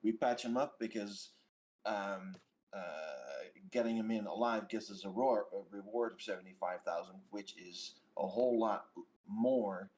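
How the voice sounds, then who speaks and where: A man with a fairly low voice talks casually as a player in a roleplaying game. He starts his sentences haltingly, stammering a little before he gets going. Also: a little mellow.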